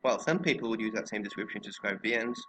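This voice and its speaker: Bright-voiced man stammering through casual chat, noisy mic. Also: fairly low pitch, fast talker. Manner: stammering, repeats words. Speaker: podcast host. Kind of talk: casual discussion.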